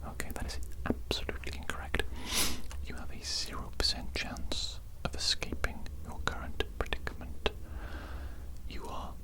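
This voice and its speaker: A performer playing an evil mad doctor in an ASMR roleplay, whispering deliberately with cold politeness and repeating phrases. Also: close to an everyday voice, low-quality recording. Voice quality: breathy unvoiced whisper. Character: evil mad doctor, gleeful, fussy, menacing kidnapper.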